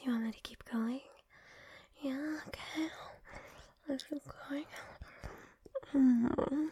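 A woman whispering softly and coaxingly in an intimate audio roleplay, with murmured hums between phrases. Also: sits high, breathy edge, dry recording. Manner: coaxing, affectionate.

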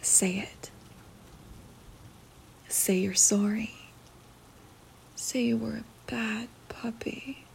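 A woman voicing a dominant, teasing young woman in a whispered ASMR roleplay, speaking in a breathy whisper with a slow, commanding manner. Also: a little high, steady tone, a little hiss or hum, full character voice, rough recording.

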